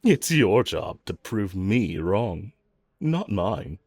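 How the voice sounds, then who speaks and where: A man's voice: fairly low pitch, big pitch swings, slow, darkish tone, dry recording, heavily acted. A man playing a cocky, flirtatious, unrepentant villain in an audio roleplay, teasing and mocking the listener with sarcasm. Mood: sarcastic, dismissive. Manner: teasing, sarcastic drawl with chuckles.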